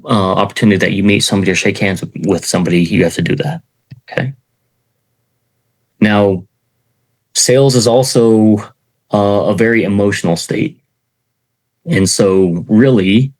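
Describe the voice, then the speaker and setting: A middle-aged man teaching sales in an informal talk, in a self-described monotone, with many fillers and "okay" check-ins. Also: lowish voice, poor audio. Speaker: sales trainer.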